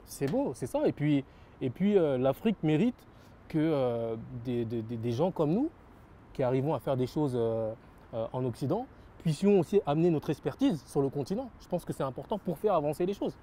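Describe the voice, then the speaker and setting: Earnest man with a dark voice. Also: fairly high pitch, expressive, moderate pace, slight background noise. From France. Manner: earnest, repeats phrases, frequent fillers. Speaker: rap label founder. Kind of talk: interview answers.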